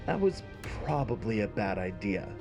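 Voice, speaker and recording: A man narrating a scripted fantasy story in a measured, dramatic way. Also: lowish voice, big pitch swings, music in the background.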